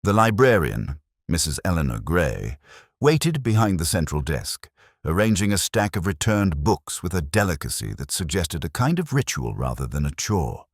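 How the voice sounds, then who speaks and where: A man with an extremely deep voice narrates a detective mystery in a measured, reflective way. His pitch rises and falls freely through the scripted first-person storytelling, and the sound is close and dry. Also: big pitch swings, dry recording. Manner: measured, reflective, literary phrasing.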